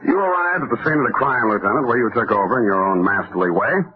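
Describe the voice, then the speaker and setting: A man's voice: medium pitch, fast, faint music behind, close to an everyday voice. An actor playing a sardonic, world-weary male private detective narrating a hard-boiled 1940s radio drama. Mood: tense, dry. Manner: wry deadpan, hard-boiled. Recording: tinny phone-like vintage radio recording.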